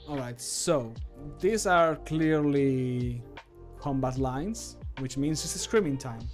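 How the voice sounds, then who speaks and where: A man's voice: mid-range voice, slow pace, faint music behind. A man modding a game and recording voice lines, chatting casually to himself and laughing often. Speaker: modder and streamer.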